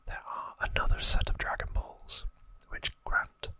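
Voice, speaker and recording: An ASMR host speaks entirely in a breathy whisper, taking their time with every phrase. The sound is crisp and bright, and the delivery is hushed and unscripted, like an informal recap of trivia. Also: dry recording. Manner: slow, hushed, unscripted explaining.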